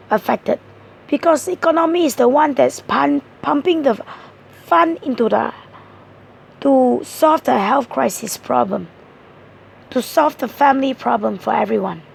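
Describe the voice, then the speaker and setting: A hoarse woman giving a tarot card reading, explaining each card point by point in an earnest way. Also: high-pitched, normal speed, a little hiss or hum. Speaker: tarot reader.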